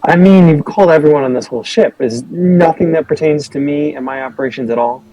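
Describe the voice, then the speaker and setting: A man's voice: on the high side, quick pace, dark tone, dry recording, dull audio, lightly acted. A man playing a polite female corporate representative with forced courtesy in a tabletop game. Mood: politely pointed.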